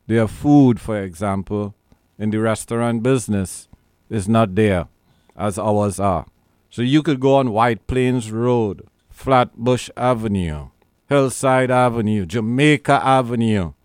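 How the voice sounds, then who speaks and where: A man's voice: on the low side, a little mellow, clean tone, no echo, clean studio sound. A man born in the Caribbean hosting a radio talk show, speaking emphatically with a lot of repetition. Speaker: radio show host and lawyer.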